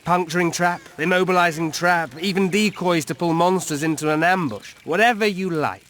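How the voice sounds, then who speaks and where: A man's voice: high voice, normal speed, fairly bright tone, a little hiss or hum. An actor playing a melancholy, cryptic old elf woodsman in a fantasy video game, musing with a tipsy drawl. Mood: aloof, matter-of-fact.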